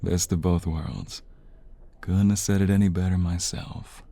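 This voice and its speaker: A man playing a tender, weary young mobster, a devoted and remorseful boyfriend, in a soft, intimate ASMR romance roleplay. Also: steady tone. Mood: fond. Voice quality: very deep, husky, breathy. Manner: soft, slow, intimate, sighing.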